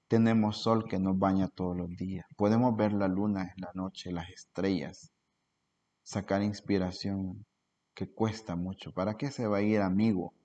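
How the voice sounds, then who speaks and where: A man's voice: low voice, dark tone. A man from El Salvador, giving an earnest informal talk full of rhetorical questions as a cultural promoter. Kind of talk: informal spoken lecture. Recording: dull lo-fi recording.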